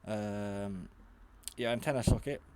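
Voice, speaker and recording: A man with a lowish voice talks through a casual product demonstration. He is matter-of-fact and a little hesitant, rambling from one point to the next like a hobbyist reviewer showing how something works. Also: slow, darkish tone, slight background noise. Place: garage.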